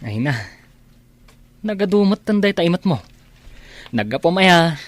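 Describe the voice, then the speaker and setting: An actor playing a concerned, soft-hearted village friend in a radio drama, earnest and exclamatory. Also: male voice, high voice, sing-song, a little slow, no echo. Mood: friendly, helpful. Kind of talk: Philippine radio drama acting.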